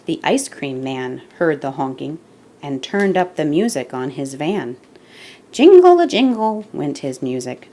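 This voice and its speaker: A woman reading a children's picture book aloud with deliberate, expressive emphasis, as the storyteller and host. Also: sits low.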